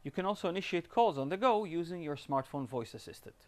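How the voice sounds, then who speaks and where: A man's voice: a little high, very animated, quick pace, heavy background noise. A man with a slightly raised voice presents a scripted tutorial. He explains each step in an upbeat, instructional way.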